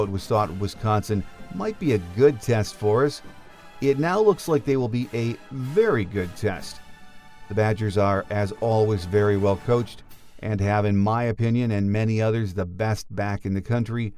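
Male podcast host, lowish pitch, scripted sports talk, faint music. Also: darkish tone. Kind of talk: scripted show introduction and interview.

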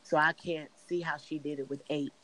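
A middle-aged woman from Chicago with a raspy voice, a singer answering interview questions in an earnest, measured way. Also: sits low, fairly even intonation, fairly bright tone, rough recording. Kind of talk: podcast interview.

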